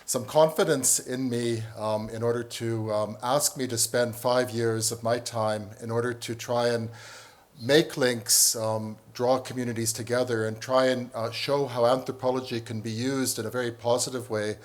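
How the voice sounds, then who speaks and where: A man's voice: mid-range voice, steady tone, normal speed. Hesitant Canadian-raised male professor. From northern Canada. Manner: hesitant, many ums and restarts. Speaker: professor and panel speaker. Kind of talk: conference talk.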